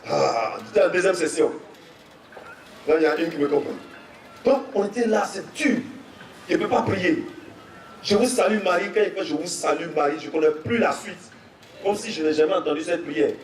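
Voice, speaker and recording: A young man with an Ivorian French accent preaching a testimony sermon, telling his story and rising to shouts with repeated phrases. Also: high voice, slight background noise. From Côte d'Ivoire. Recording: echoey, from far off, faint music.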